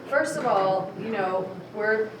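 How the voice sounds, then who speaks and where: A woman's voice: medium pitch, takes it slowly. A woman hosting a meeting Q&A, chatty and friendly with lots of "you know". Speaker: meeting host. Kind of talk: casual Q&A at a meeting. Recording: distant mic in an echoey room with noise.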